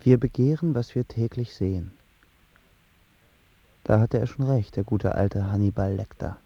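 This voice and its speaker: A man's voice: fairly low pitch, a little slow, highs cut off. An actor playing a heartbroken, sulky young man who tells his story in a slangy, wry, self-pitying way for a radio drama. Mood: sullen, brooding. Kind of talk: radio drama narration, colloquial and confessional.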